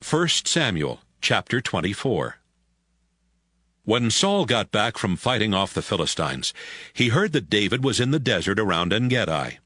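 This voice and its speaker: A man's voice: lowish voice, very animated, measured pace, bright, forceful tone, no echo. A man narrating a dramatized audio Bible as a scripted story.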